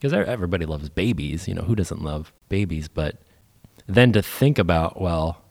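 A man with a cold hosts a faith podcast in a very low, dark voice. The sound is close and dry, and he chats easily with plenty of fillers. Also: lively intonation, normal speed, gravelly, no room sound. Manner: easygoing, rambling with fillers. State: has a cold, voice deeper. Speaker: podcast host. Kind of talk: casual faith discussion.

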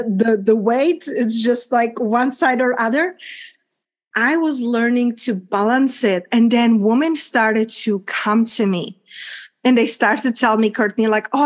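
Czech-accented woman coach, podcast guest, earnest and gushing, a bit high-pitched. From Czech Republic. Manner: earnest, effusive, frequent "like" fillers. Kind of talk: candid interview chat.

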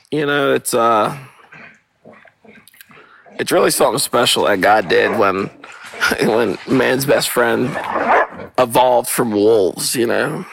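Solo podcast host, young man, hoarse and gravelly, rambling and cursing outdoors on a portable mic with dogs around. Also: mid-range voice, fairly bright tone, slight background noise, poor audio. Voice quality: rough, gravelly voice. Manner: rambling, profane, spiritual asides. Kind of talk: casual solo monologue. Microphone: portable, not studio quality. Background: dogs playing.

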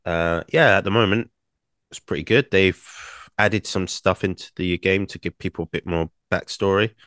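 A young man, co-hosting a casual podcast, rambling with many fillers and restarts, his throat croaky from singing. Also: sits low, normal speed, a bit bright, dry recording, studio quality. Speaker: podcast co-host.

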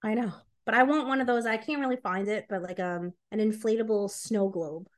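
A woman is chatting casually as a podcast co-host. She speaks bluntly and with opinion, in an everyday voice at a middle pitch, and often slips into retelling what people said. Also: fairly quick. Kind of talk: casual chat.

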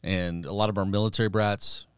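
A man's voice: lowish voice, steady tone, fast talker, clear, resonant tone, no echo, clean studio sound. A middle-aged man in an interview, telling personal stories in a conversational way and acting out bits of dialogue. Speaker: missionary guest.

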